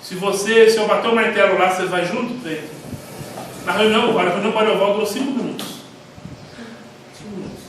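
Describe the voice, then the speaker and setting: A man with a Brazilian Portuguese accent, telling stories in a rambling, animated way while teaching a church class. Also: high voice, fast, pauses often. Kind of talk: informal church class talk. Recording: echoey hall, mic far off, faint noise.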